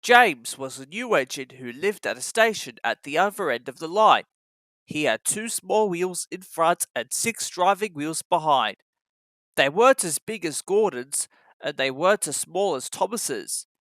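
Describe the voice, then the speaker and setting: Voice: mid-range voice, animated, measured pace, a bit bright, no room sound. A storyteller reading a scripted children's story as narration.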